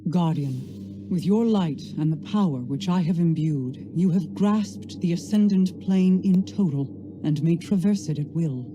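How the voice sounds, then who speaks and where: A woman performs a regal queen from a video game, solemn and commanding. Her speech is slow, formal and stately, set over clear music. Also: on the low side, natural voice. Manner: stately, formal, measured delivery. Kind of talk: video game dramatic monologue. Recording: music behind the voice.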